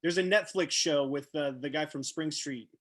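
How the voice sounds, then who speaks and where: A man's voice: fairly high pitch, normal speed, fairly bright tone. A man interviewing a guest, speaking casually and searching for words as he goes.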